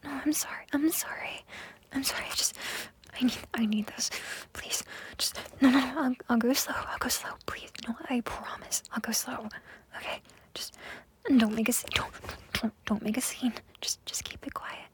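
A woman performing a whispered audio roleplay, with a breathy, pleading delivery that keeps repeating itself. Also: a little high, steady tone, moderate pace.

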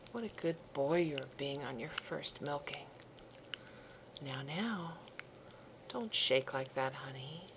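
A woman in an intimate audio roleplay, speaking in a soft, coaxing way that often drops to a whisper. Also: sits low, a little hiss or hum.

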